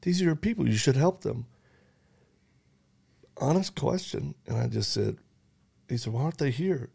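A man's voice: mid-range voice, expressive, moderate pace, vocal fry, darkish tone, slightly rough. A man hosting a conversation, telling a story earnestly and quoting others, with frequent restarts. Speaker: host. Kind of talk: conversational interview talk.

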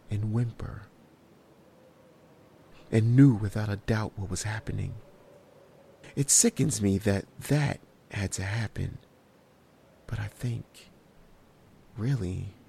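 An actor playing a shaken male survivor, telling his tale in a haunted, measured way in a horror audio drama. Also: fairly low pitch, gravelly, a little mellow, a little hiss or hum. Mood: shaken, weary. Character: shaken male survivor recounting a harrowing escape.

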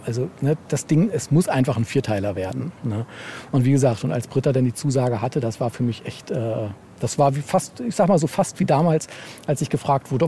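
A man's voice: medium pitch, vocal fry, warm tone, a little hiss or hum. A man chatting casually as an interviewed author, rambling and often tagging phrases with a questioning filler. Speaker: interviewed novelist. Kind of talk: casual podcast interview chat.

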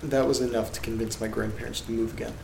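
A man hosting a horror audio drama, reading letters aloud in a quiet, flat, gravelly way. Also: mid-range voice, quick pace. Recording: roomy echo with loud rain noise. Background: rain and thunder.

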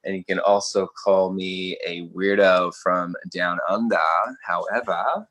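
A young man with an Australian accent talks in a relaxed, rambling way, often breaking into laughter. His voice sits low but carries a bright, forward edge. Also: unhurried. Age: 20s. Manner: playful, laughing, loose and rambling. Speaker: guest. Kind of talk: casual podcast chat.

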